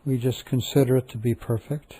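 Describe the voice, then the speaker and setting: A man leading a guided meditation, speaking calmly and softly with long, repetitive pauses. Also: medium pitch, breathy edge, rough recording.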